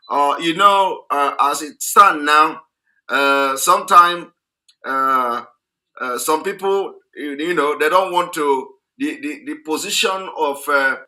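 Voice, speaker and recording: A man's voice: on the high side, pushed and bright, low-quality recording. A man hosting a live online broadcast, talking hesitantly with many restarts. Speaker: broadcast host.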